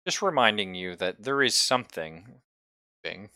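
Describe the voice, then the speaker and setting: A man's voice: on the low side, lively intonation, unhurried, pushed and bright, clear, resonant tone, dry recording. A man improvising narration as game master of a tabletop roleplaying game, conversational and playful, on a close mic. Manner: conversational, playful, swears casually.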